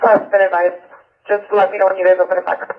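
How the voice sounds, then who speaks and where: A man's voice: high voice, level tone, fast. A man working as a police dispatcher, speaking tersely over scanner radio. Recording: tinny, narrow-band police scanner radio.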